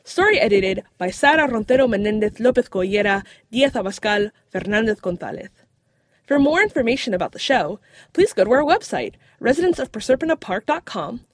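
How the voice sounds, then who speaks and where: A woman's voice: a little high, no echo, clean studio sound. A woman speaking scripted lines as a voice actor in an audio drama.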